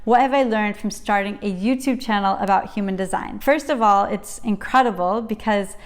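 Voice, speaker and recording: A woman's voice: mid-range voice, moderate pace. A young woman in casual talk to camera, chatty and enthusiastic, often breaking off to interrupt herself. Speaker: YouTuber sharing her channel story. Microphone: camera at desk, reading notes off a computer.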